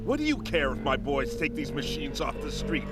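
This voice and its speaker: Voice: medium pitch, very animated, normal speed, close to an everyday voice. An actor playing a hostile, bitter police crew leader who threatens and commands in an audio drama. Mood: bitter, taunting. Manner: aggressive, menacing, commanding. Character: hostile corrupt cop leader, bitter and threatening, hates robots. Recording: loud music bed behind.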